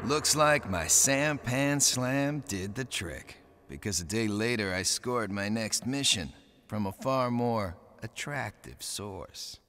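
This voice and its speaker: Low, brooding, cocky young sailor. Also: male voice, lively intonation, slow, pushed and bright, heavily acted. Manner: hard-boiled, wry storytelling. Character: vengeful young navy lieutenant turned pirate, cocky and brooding. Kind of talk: video game cutscene narration and dialogue. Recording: music under the voice.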